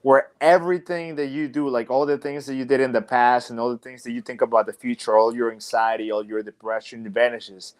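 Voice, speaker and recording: A man's voice: medium pitch, steady tone, fairly quick, hardly any pauses, clean tone. A young man, a professional wrestler guest on a podcast, talking casually and reflectively with many "like" and "you know" fillers. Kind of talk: casual interview chat.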